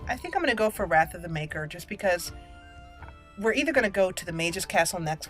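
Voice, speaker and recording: A woman giving casual, chatty gaming commentary, thinking aloud and exclaiming as she plays. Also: lowish voice. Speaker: gameplay video host. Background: game music.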